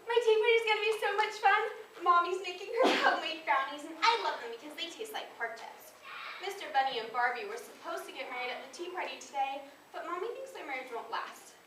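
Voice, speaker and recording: A woman playing a dreamy, dramatic little girl reciting a diary in a comic stage piece, with childish drawn-out words. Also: very high-pitched, a bit bright. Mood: indignant, gleeful. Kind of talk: stage duo interpretation at a speech showcase. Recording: echoey room, distant camera, faint noise.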